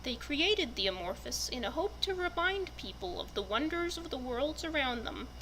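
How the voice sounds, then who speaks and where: Woman, bright forceful tone, high pitch, storybook recitation, deliberate delivery, dramatic reading. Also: sing-song, slight background noise, low-quality recording. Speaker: reader presenting a fantasy book. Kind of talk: dramatic reading of a written fantasy text.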